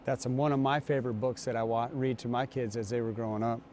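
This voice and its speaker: A man reading aloud and talking to children as a guest reader, with an unhurried, storytelling manner. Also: medium pitch, steady tone, darkish tone, a little hiss or hum. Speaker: guest reader, basketball coach.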